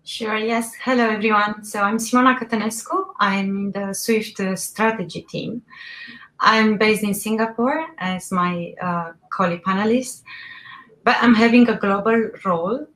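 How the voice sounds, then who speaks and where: A woman's voice: medium pitch, steady tone, a little slow, fairly bright tone, rough recording. A woman panelist from a strategy team introducing herself in a businesslike way.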